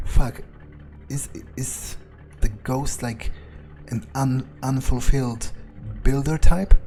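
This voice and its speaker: A man's voice: medium pitch, warm tone. A man role-playing a cynical, jittery male vampire, thinking aloud in a hesitant, foul-mouthed mutter during a video game playthrough. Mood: startled, nervous. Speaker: gamer playing in character. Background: game music.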